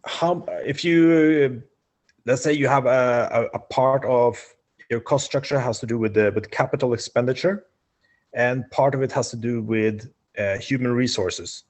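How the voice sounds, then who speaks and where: A middle-aged man asking measured questions on a video call. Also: mid-range voice.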